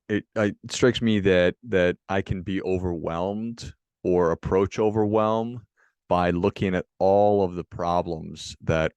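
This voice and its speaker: Deep-voiced male podcast host, dry sound. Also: darkish tone, clear, resonant tone, no echo. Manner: reflective, sums up and asks questions. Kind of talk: podcast interview.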